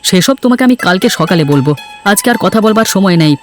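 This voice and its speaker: A man's voice: high voice, lively intonation, quick pace, loud music behind the voice. A man voicing a worried village farmer and husband in a cartoon story, speaking earnestly and hurriedly.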